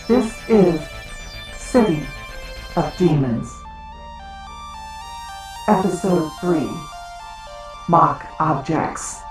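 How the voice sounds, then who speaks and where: Slow, echoing woman narrator. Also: fairly low pitch, expressive. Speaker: episode narrator. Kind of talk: scripted recap narration. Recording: echoing, distant voice. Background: music.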